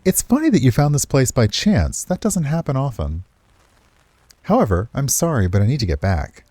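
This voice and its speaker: A man's voice: mid-range voice, very animated, moderate pace, mellow, no room sound, pitched above the performer's own voice. A man voicing an amused, evasive male dancer who is teasing and secretive, in a visual novel. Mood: apologetic, guarded.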